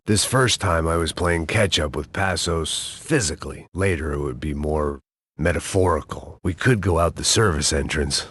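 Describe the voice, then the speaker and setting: An actor playing a weary, cynical middle-aged ex-cop who narrates in a deadpan, bitter, self-mocking way. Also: male voice, a bass voice, husky, no echo. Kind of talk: hard-boiled video game narration.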